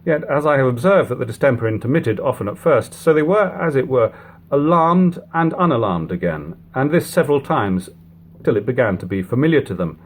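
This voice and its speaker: A man reading an old literary book aloud in a measured, phrase-by-phrase narration, on a lo-fi home recording. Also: medium pitch, big pitch swings. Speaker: audiobook reader. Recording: lo-fi home recording, background hum, noise.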